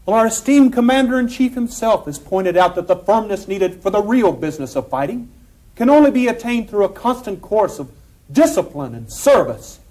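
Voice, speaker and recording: An actor playing a forceful eighteenth-century American convention delegate, arguing formally and insistently in a period drama. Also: male voice, sits high, sing-song, moderate pace, low-quality recording. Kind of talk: period stage-like debate acting.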